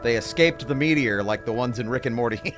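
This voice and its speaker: A man's voice: medium pitch, a little fast. A man co-hosting a comedy stream, chatting casually with playful, wry asides. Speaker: co-host of a comedy improv livestream. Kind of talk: casual comic banter. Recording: clear music behind the voice. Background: music.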